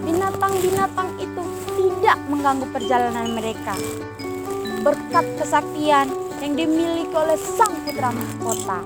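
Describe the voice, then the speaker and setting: A woman's voice: sits high, moderate pace. A teenage young woman from Indonesia narrates a scripted folktale with dramatic, declamatory phrasing. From Bima, West Nusa Tenggara, Indonesia. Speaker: student storyteller. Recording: loud music over the voice. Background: loud music.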